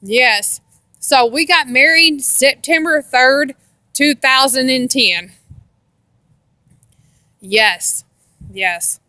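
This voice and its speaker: A woman's voice: high voice, extremely slow, long pauses, bright, forceful tone, dry recording. An American woman telling a casual personal story in a rambling, repetitive way with folksy grammar. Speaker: storytelling vlogger.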